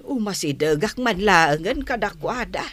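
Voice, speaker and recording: A woman's voice: mid-range voice, animated, normal speed, fairly bright tone, lightly acted. A woman playing a grumbling older neighbour in a radio drama, with a Filipino accent.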